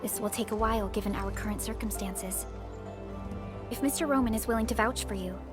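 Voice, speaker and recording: A woman playing a calm, considerate official in a video game cutscene, formal and measured. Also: medium pitch, lightly acted. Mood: composed. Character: composed, considerate female industrial official offering aid. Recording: music behind the voice.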